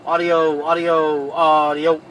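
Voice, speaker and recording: A man's voice: on the high side, level tone, slow, a bit bright, a little hiss or hum, rough recording. A man giving an informal, conversational hands-on tutorial, talking directly to viewers like a trucker hosting his own video. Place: inside a semi truck cab.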